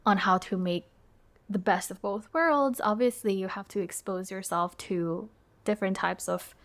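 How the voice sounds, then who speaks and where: A woman's voice: medium pitch, moderate pace. A young woman from Indonesia, whose first language is Indonesian, speaking English as a podcast guest in a thoughtful, conversational way with frequent fillers and restarts. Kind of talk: reflective conversational interview.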